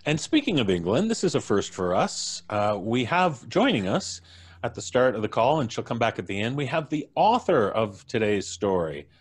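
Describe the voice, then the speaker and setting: A man's voice: mid-range voice, big pitch swings, normal speed, husky. A man in his fifties hosting a live stream, introducing guests in a genial showman's manner. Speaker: host. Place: indoor room, on a video call. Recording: soft music playing behind. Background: background music.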